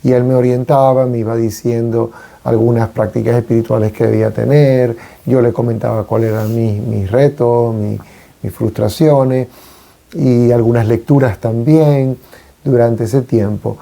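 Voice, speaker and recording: A man in his forties from Venezuela, a priest, talking on a radio show in an unhurried, reflective way with frequent hesitations. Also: medium pitch, fairly even intonation, creaky, mellow. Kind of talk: casual conversation on a radio talk show.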